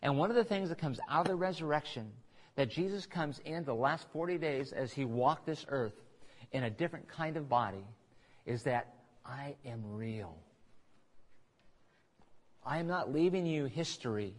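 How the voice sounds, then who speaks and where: A man preaching a conversational sermon, pausing for asides and restarting phrases as he works through scripture. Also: fairly high pitch, animated. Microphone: recorded on tape in a church.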